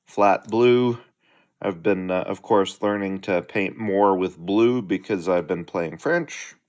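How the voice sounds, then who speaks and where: A man's voice: sits low, slow pace, a little mellow. A middle-aged man hosting a hobby channel, chatting casually and unhurriedly as he unboxes a delivery at home.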